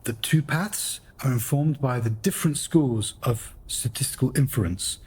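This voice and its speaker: A man's voice: mid-range voice, moderate pace, darkish tone, husky. A man narrating and hosting a radio play in a formal, theatrical storyteller's manner. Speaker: host and narrator. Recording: music behind the voice.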